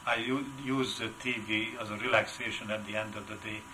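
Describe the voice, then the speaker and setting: An older man with a heavy Hungarian accent, answering interview questions in a halting way with many filler sounds. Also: lowish voice, steady tone, background noise. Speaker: wood carver, interview guest. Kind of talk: casual interview.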